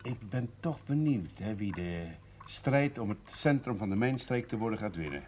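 A man's voice: lowish voice, moderate pace, natural voice. An actor playing a genial, opinionated older man, chatty and hospitable, in a naturalistic television drama. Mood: amused. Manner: animated, chatty. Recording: noisy 1970s television soundtrack.

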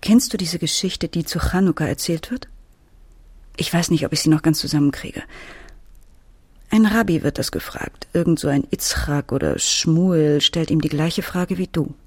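A woman's voice: low-pitched, normal speed, a little mellow, breathy edge, no room sound. An actress playing a worried, weary but firm middle-aged mother, acting and narrating in a radio drama. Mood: consoling, thoughtful.

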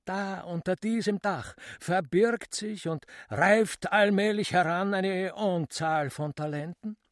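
An actor playing a roguish, flowery trickster in a radio drama, mock-polite and theatrical, with drawn-out hesitations. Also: male voice, high voice. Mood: mock-grand.

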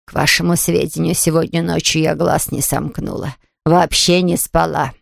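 A woman's voice: low voice, fairly even intonation, moderate pace, vocal fry, no room sound, clean studio sound. A woman voicing a peevish, self-pitying bedridden woman in an audiobook, grumbling and haughty in a languid way. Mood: feeble, self-pitying. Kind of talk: audiobook character voice.

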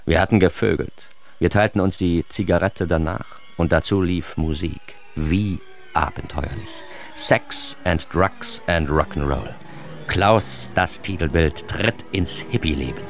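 A man's voice: low-pitched, a little slow, vocal fry, soft background music. An actor narrating a radio drama as a naive, bookish young man with a wry, self-mocking and precise manner. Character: naive, bookish young man recounting his life with comic earnestness. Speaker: first-person narrator.

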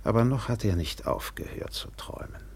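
A reflective ship's captain telling a story in a radio drama. His voice is deep and husky, with wide swings of intonation. The delivery is measured, somber and literary. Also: very animated, in a whisper, exaggerated voice. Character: reflective male steamship captain recounting a man's decline. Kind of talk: literary radio play narration.

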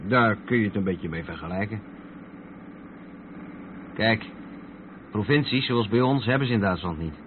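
A thin, narrow-band radio drama recording with clear vehicle noise carries a rather low male voice. An actor plays a steady truck driver, explaining things patiently to his companion. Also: moderate pace, pauses often, natural voice. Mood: patient, explanatory.

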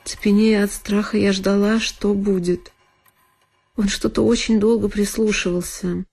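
A frightened young girl , played by a woman, tells of a dreadful night in a literary audio drama. The voice is dark and mellow at a medium female pitch, with deliberate, measured phrasing. Music plays faintly behind it. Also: close to an everyday voice. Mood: fearful. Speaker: solo performer of an audio drama. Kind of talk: dramatic first-person literary narration.

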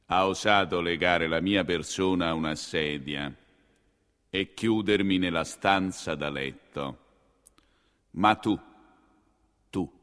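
Casting: pompous senior cleric, theatre piece, deep register, slow solemn scolding, cold and vindictive. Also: male voice, fairly bright tone, long reverb tail, heavily acted. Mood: cold outrage. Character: pompous, vindictive high-ranking Roman churchman, cold and menacing. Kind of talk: theatrical monologue.

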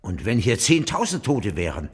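A man's voice: lowish voice, normal speed, slight background noise. A man playing a stubborn, literal-minded mail carrier in a radio play. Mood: deadpan. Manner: matter-of-fact, deadpan, absurdly literal. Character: hungry, stubborn male mail carrier, prone to suspicion. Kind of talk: German radio play acting.

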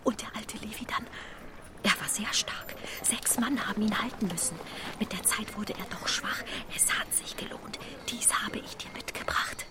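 An actress playing a timid, gossiping young maidservant in a radio play, speaking in a furtive, hesitant, breathy whisper. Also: female voice, medium pitch, moderate pace, natural voice.

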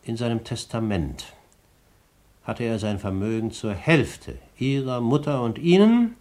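An actor in a 1950s German radio drama playing a benevolent, firm older English gentleman with a measured, authoritative delivery. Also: medium pitch, natural voice. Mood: firm, accusing.

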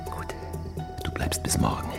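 An actor playing an unflappable, secretive criminal, speaking in hushed, terse commands in a crime radio drama. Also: male voice, measured pace, natural voice. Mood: calm, commanding. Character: unflappable, secretive male criminal planning with partner. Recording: studio radio drama under loud music. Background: loud music.

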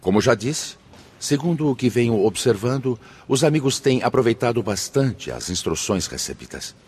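A man acting a benevolent, authoritative spirit leader in a Brazilian radio drama, husky, formal and measured. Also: mid-range voice, very animated, darkish tone. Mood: earnest, encouraging. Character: benevolent, authoritative male spirit leader addressing his brothers.

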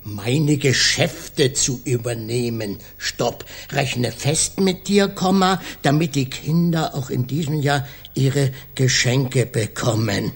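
An actor playing a gruff, theatrical robber with a soft heart in a children's radio play. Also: male voice, medium pitch, slow pace, few pauses. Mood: flustered. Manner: theatrical, stammers when flustered.

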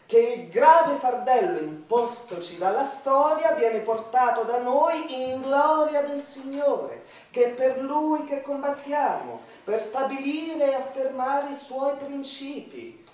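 A woman's voice: high-pitched, expressive, slow pace, darkish tone. A woman acting a sanctimonious, scheming church dignitary in a satirical play, preachy and emphatic. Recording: very echoey, distant, faint noise.

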